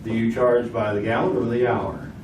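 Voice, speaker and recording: A man's voice: medium pitch, fairly even intonation, normal speed. A man hosting a quiz in an old-time radio style, with a jokey, wisecracking way of talking. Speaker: quiz show host. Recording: echoey far-off room with loud noise.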